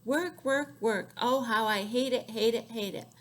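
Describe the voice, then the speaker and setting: A woman voicing a cranky old woman who is fed up with her chores and shouts in exasperation. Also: a little high, unhurried, heavy background noise, lightly acted. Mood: grumbling.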